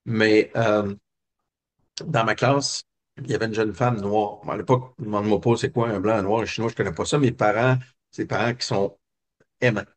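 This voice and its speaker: Un homme québécois, invité d'une entrevue, qui raconte son enfance d'un ton réfléchi, avec beaucoup d'hésitations. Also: male voice, on the low side, fairly even intonation, moderate pace. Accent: Québécois French. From Quebec. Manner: reflective, many hesitations and fillers. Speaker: guest. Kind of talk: personal storytelling interview.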